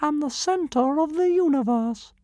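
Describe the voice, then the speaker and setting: A man voicing a tiny, arrogant male dragon who speaks grandly and insults everyone, in a squeaky, haughty manner. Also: measured pace, mellow, dry recording, studio quality. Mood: grandiose. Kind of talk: audiobook character voice.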